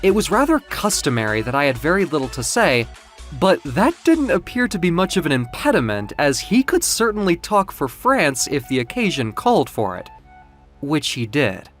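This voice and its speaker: A man narrating first-person audio fiction in a theatrical, expressive, confiding way. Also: a little high, normal speed. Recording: music under the voice. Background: music.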